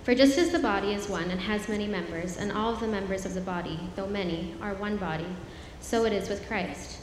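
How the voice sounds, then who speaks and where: Woman, scripture reader, measured reading, heavy church echo, audible room noise. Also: medium pitch. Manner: steady, measured reading aloud. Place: church sanctuary. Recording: very echoey church hall, heard from afar.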